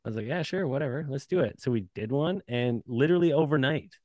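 A man's voice: mid-range voice, animated, quick pace, a little mellow, dry recording. A man chatting casually as a podcast guest, laid-back and profane, laughing often between thoughts. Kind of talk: casual chat.

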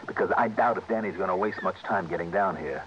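Old muffled radio drama, tough blunt detective, talks fast and bossy, low-ish voice. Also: male voice, a bit bright. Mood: commanding. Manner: hard-boiled, commanding, clipped. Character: tough, blunt insurance investigator hero, world-weary. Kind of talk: 1960s radio drama acting. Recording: dull, noisy vintage radio recording.

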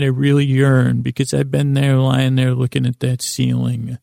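Very creaky-voiced man, rambling bedtime podcaster. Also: mid-range voice, a little mellow, no room sound, studio quality. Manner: meandering, halting, self-interrupting, repeats words. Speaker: podcast host. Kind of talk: rambling bedtime monologue to lull listeners to sleep.

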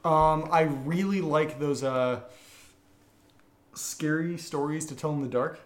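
A man with a fairly high voice talks as a podcast co-host. His delivery is conversational, whether bantering or reading out questions, and the room sounds noticeably echoey. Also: slow pace. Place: indoor room, live-streamed session. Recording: roomy, echoing room sound.

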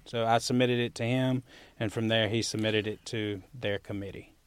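Male Southern US interview guest, clear smooth voice, conversational, close dry studio sound. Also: fairly low pitch, steady tone, normal speed, no room sound. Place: university building studio.

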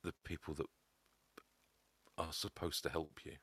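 A man telling his own story as a podcast guest, halting often with a stammer. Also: rumbling low, steady tone, a bit bright, breathy edge, no echo. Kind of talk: personal testimony.

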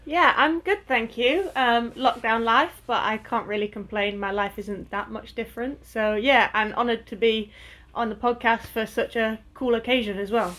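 A woman's voice: on the high side, fairly even intonation, normal speed, fairly bright tone. A woman in her twenties from Northwest England, a guest athlete chatting casually in an interview, with lots of fillers and easy laughter. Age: 20s.

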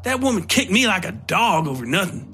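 Man acting out an angry, high-strung scheming roughneck. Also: big pitch swings, a little fast. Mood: indignant, spiteful. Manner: coarse, spitting, rural slang. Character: angry, scheming male laborer, foul-mouthed and goading. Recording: music under the voice.

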